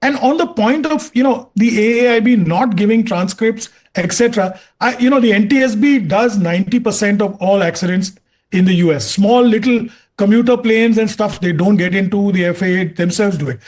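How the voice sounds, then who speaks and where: A man's voice: high voice, fairly quick, darkish tone. A man with an Indian accent arguing his points emphatically as an expert guest on a TV news debate. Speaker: aviation expert panelist. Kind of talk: TV debate commentary.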